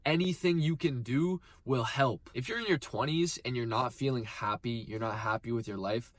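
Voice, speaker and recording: A man's voice: mid-range voice, normal speed. A twenty-year-old man giving an earnest, emphatic motivational talk to the camera, repeating phrases for weight. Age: 20s. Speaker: motivational vlogger.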